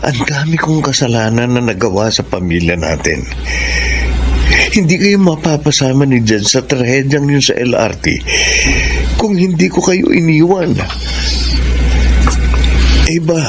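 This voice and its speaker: An actor playing a remorseful, caring husband in a Filipino radio drama, earnest and emotional, with a Filipino accent. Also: male voice, medium pitch, expressive, unhurried, pauses often, background noise. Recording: loud music under the voice.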